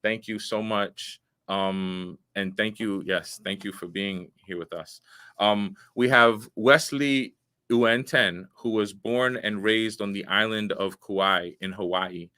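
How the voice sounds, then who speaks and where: Earnest male host in his forties, lowish voice with a sharp bright edge, measured delivery full of pauses. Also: fairly even intonation, clear, resonant tone. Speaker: show host, veteran. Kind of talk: hosting a live online talk show.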